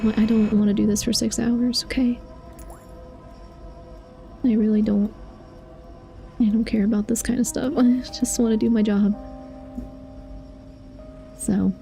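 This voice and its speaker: Mellow-voiced woman chatting while gaming. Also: medium pitch. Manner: chatty and confiding, laughs often. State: losing her voice. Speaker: streamer. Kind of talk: casual chat while playing a game.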